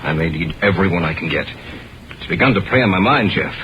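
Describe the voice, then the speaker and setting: A troubled research biologist of 1950s radio drama, talks in a very deep, weighty and earnest voice. The recording is dull, with clear noise over it. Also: male voice, expressive, a little fast. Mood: worried, foreboding. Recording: muffled, noisy old radio recording.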